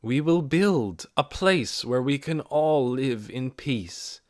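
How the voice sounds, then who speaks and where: A man voicing a steady female village leader. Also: mid-range voice, voice pushed up. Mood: resolute. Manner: measured, solemn public speaking. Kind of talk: audiobook character voice.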